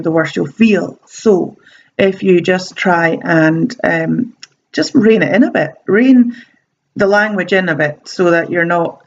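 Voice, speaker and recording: A woman's voice: fairly low pitch, lo-fi sound. A woman with a slight sore throat giving casual self-help advice, chatty and meandering with frequent ums and restarts. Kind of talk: casual talking-to-camera advice.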